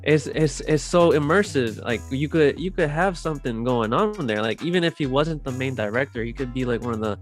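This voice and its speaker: A man in casual chat, speaking in a halting, slangy, opinionated way. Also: medium pitch, expressive. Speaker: podcast co-host. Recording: music under the voice.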